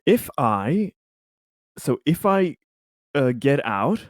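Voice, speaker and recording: Animated man chatting through a game. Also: medium pitch, extremely slow, dry recording, studio quality. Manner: amused, laughs readily, swears. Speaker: tabletop game player. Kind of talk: casual game chat, narrating his character's moves.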